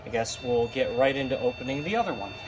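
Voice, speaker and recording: A man's voice: mid-range voice, animated, fast talker. A man giving casual, unscripted hands-on commentary, thinking aloud informally as he works. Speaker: hobbyist video host. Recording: loud ambient noise around voice.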